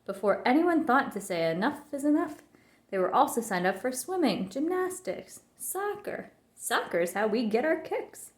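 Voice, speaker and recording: A woman reads a children's storybook aloud as narrator. Her voice sits on the high side. The recording is roomy, with a clear echo around the words. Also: very animated, moderate pace. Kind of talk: children's book read-aloud.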